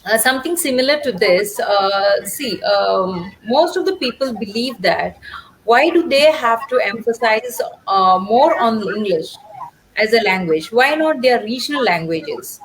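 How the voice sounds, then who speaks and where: A woman interviewing a guest, agreeable and halting, often saying "very true". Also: a little high, animated, low-quality recording. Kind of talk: casual interview.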